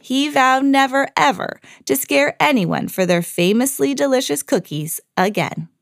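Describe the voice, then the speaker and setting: A woman hosting a read-aloud podcast, narrating a scripted children's fairy tale in an expressive storytelling style. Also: sits high, measured pace, creaky, dry recording.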